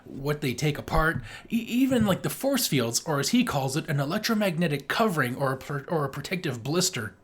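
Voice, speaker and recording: Animated, enthusiastic podcast co-host. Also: medium pitch, fairly quick, hardly any pauses, slightly rough. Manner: enthusiastic, emphatic, frequently swearing. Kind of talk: casual film review chat.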